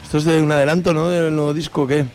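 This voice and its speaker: A fairly high-voiced male radio host with an accent from Spain reads announcements informally. Soft music runs behind him. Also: flat delivery, very fast. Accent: Spanish from Spain. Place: radio studio. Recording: faint music underneath.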